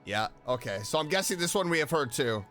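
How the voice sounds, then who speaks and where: A man hosting a casual reaction video as a game fan, talking in an enthusiastic, expressive way. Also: on the high side, a little fast. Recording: music behind.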